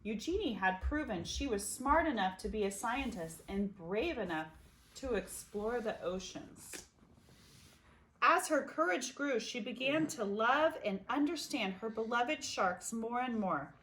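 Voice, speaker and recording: A woman reading a children's picture book aloud expressively, with dramatic pauses and emphasis. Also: fairly high pitch, expressive, a bit bright, poor audio. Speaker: storybook reader.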